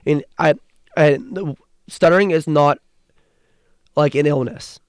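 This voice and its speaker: A young man co-hosts a sports podcast in a casual, earnest way and stumbles through a stutter with restarts. His voice sits a little high and sounds smooth and resonant. It is recorded dry and close, with no room sound. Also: unhurried, no echo. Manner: earnest, with restarts and repeated words. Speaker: podcast co-host.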